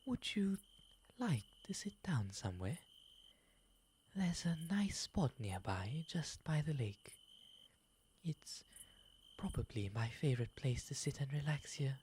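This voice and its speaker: A man voicing a gentle, courteous owl demon gentleman in an ASMR roleplay, breathy and often whispering, with polite, formal, soothing phrasing. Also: fairly high pitch, a little slow, pauses often. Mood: courteous, friendly. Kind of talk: ASMR roleplay, intimate slow delivery. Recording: faint steady background ambience noise.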